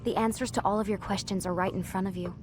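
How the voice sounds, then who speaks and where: A woman playing a hushed, earnest lab assistant. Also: mid-range voice, steady tone, fast talker, lightly acted. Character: earnest young female lab assistant, guarded. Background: game score music.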